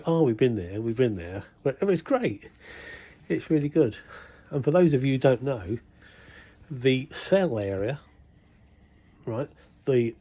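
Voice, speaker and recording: An older man, chatting casually and rambling in a vlog, full of fillers and restarts. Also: mid-range voice. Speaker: vlogger. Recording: dull lo-fi sound.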